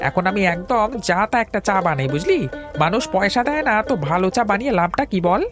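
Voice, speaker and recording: A man's voice: sits high, big pitch swings, quick pace, over music. A man playing a grumpy, sarcastic village tea stall keeper in an audio drama, scolding in an exasperated way. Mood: indignant. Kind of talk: Bengali audio story drama acting.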